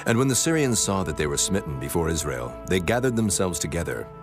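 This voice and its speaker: A man narrating scripture from a script in a solemn, measured manner. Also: sits low, very animated. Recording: music bed under the voice.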